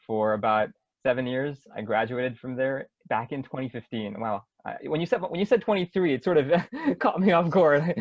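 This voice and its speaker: A man's voice: mid-range voice, dry recording. A friendly man in his twenties from Hong Kong speaks as a webinar guest over a video call. He laughs easily. The sound is dry and dull, with a lo-fi edge. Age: 20s.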